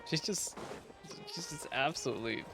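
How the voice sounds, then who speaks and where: A woman's voice: deep voice, animated, normal speed. A woman giving casual reaction commentary as she plays through a game. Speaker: gaming streamer. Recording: game music behind voice.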